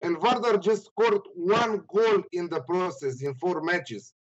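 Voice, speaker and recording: A man with a Romanian accent giving casual sports betting analysis in a halting, hesitant way. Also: high voice, lo-fi sound. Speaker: betting tipster and panelist.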